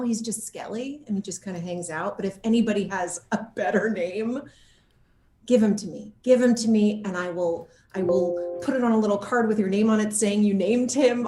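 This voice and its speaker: A woman chatting casually as an interview guest, telling anecdotes in an animated, jokey way, with laughter close at hand. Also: mid-range voice, roomy sound. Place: at home, indoor room.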